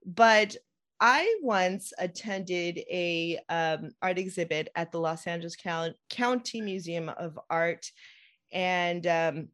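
A woman from the United States hosting a podcast, talking in an animated, excitable way with plenty of fillers. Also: lowish voice, takes it slowly. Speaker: podcast host, nurse practitioner. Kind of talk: casual podcast interview.

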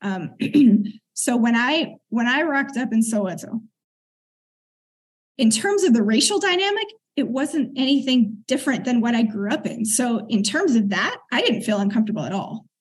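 Husky-voiced woman telling her story. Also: fairly high pitch, expressive, moderate pace. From United States. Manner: earnest, reflective, clears throat often. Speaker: guest. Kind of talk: conversational interview storytelling.

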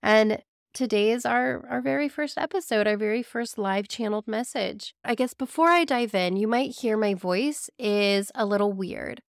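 Woman spiritual podcast host, sick and raspy, kinda high voice, soft earnest chatty tone. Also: moderate pace, no echo, studio quality. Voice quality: raspy, weak voice. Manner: earnest, gently reassuring, addresses listeners fondly. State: sick, hoarse. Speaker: podcast host and psychic medium. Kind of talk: casual spiritual podcast monologue.

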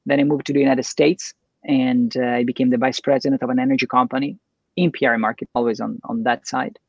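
A middle-aged man with a strong Italian accent speaks in an enthusiastic, rambling way, restarting his sentences as he goes. His voice is gravelly and husky. The sound is dry and slightly dull. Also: mid-range voice, level tone, quick pace, a little mellow, no echo. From Italy. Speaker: guest, entrepreneur and producer. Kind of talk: casual interview talk.

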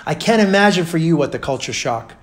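A man's voice: a little high, lively intonation, brisk. A man who grew up in Brazil interviews a guest in a casual conversation, often affirming with short murmurs and asking rambling, restarted questions. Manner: warm, affirming listener with many backchannels.

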